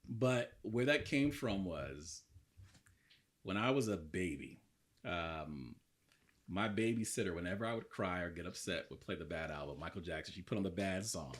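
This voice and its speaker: A man in his thirties from the southern United States, a podcast guest telling his life story in an earnest, reflective way, a little hoarse today. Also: low voice, lively intonation, normal speed. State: slightly hoarse. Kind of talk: casual interview talk.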